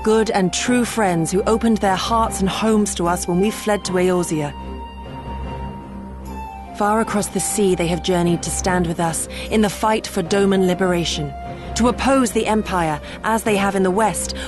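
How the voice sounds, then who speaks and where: An actress voices a devoted woman warrior in a fantasy game. Her speech is formal, deferential and grave, set at an average pitch for a woman. Soft music sits behind her. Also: normal speed, close to an everyday voice. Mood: earnest, stirring. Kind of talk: fantasy game cutscene acting.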